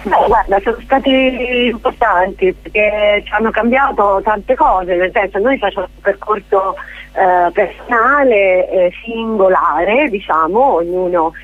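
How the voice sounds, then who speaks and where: A woman's voice: mid-range voice, unhurried, no room sound. Reflective woman over a phone line. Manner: reflective, steady with some fillers. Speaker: theatre artist, interview guest. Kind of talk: radio phone interview. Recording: dry tinny phone line.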